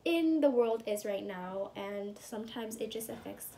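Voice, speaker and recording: A young woman talks through a relaxed personal vlog update, her voice sitting a little high. She rambles loosely with lots of "um" and "like," and sounds a bit congested as she recovers. A faint hum of room ambience sits behind her. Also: measured pace. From California. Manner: rambling, chatty, with fillers like "um" and "like". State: congested and recovering after surgery, sometimes whispering. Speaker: vlogger.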